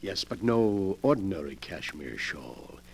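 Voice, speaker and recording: An actor playing a sly, wheelchair-bound rich collector of murder relics in a pompous, insinuating 1940s radio drama style. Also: male voice, sits low, very animated, a little slow, gravelly, darkish tone, husky, full character voice. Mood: proud. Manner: pompous, insinuating, chuckling menace.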